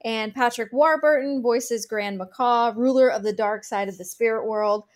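A woman's voice: a little high, moderate pace. Upbeat woman reading entertainment news. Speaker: podcast co-host.

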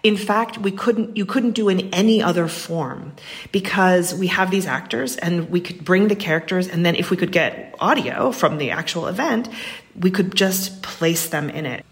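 A woman's voice: fairly low pitch, normal speed. A woman speaking as a writer being interviewed, earnest and emphatic, thinking aloud as she goes. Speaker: guest, writer. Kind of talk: reflective interview conversation.

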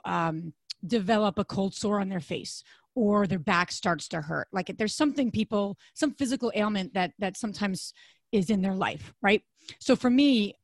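A woman in her thirties co-hosting a podcast, with a deep, raspy, hoarse voice, chatting casually and rambling with fillers and restarts. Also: dry recording. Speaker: podcast co-host.

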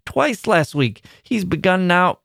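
A man's voice: on the high side, normal speed, no room sound, clean studio sound, pitched above the performer's own voice, lightly acted. A man voicing a weary, irritable, bitter working-class mother in an audiobook, scornful and using dialect turns of phrase. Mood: bitter despair. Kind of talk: literary audiobook character voice.

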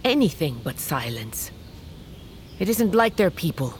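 An actress playing a stern, burdened queen of a wolf tribe in an audio drama, speaking in a grave, measured, formal way. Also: on the low side, big pitch swings, slight background noise. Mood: worried, brooding. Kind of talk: audio fiction drama acting.

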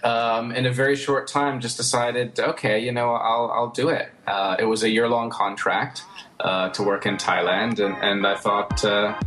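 A man from the United States talking as an interview guest, relaxed and hesitant, with easy laughs. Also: fairly low pitch, normal speed, faint music behind, low-quality recording. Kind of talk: casual interview talk.

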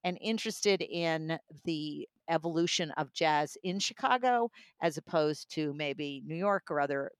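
A woman's voice: deep voice, unhurried, hardly any pauses, smooth, dry recording. A woman chatting casually as an audiobook reviewer, with an enthusiastic, appreciative manner.

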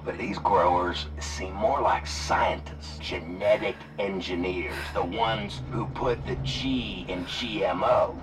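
A man's voice: low-pitched, steady tone, slow pace, few pauses, noisy background. A man playing an urgent, protective, conspiracy-minded ally in an audio drama, speaking with dramatic emphasis. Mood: suspicious. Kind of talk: naturalistic audio drama acting.

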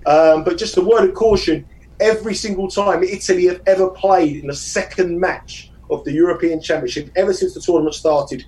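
A man with a strong South London accent, talking fast and joking as a guest on a casual podcast. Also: high voice, recorded from a distance, rough recording. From South London, England. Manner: fast-talking, jokey, animated. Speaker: guest betting pundit.

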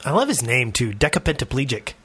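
A man's voice: medium pitch, big pitch swings, fast talker, fairly bright tone, slightly rough. A man hosting a casual podcast, reading aloud and commenting. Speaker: podcast host.

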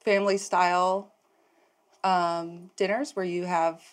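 A woman's voice: on the low side, little pitch movement, slow pace, clear, resonant tone. A woman from Texas chatting casually as a player at a game table, giggly and a bit sheepish. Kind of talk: casual chat.

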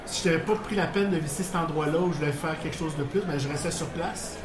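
A man's voice: a little high, fast, music in the background. A man with a Quebec French accent, telling work stories in a rambling way with many restarts and chuckles, at a bar in front of a live audience. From Portuguese background, living in Quebec. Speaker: guest. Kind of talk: casual storytelling interview. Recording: echoey bar with background noise.

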